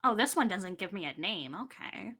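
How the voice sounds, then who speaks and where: A woman's voice: medium pitch, animated, moderate pace, pushed and bright, breathy edge. A woman bantering casually as a podcast panelist, with a wry, playful way of talking.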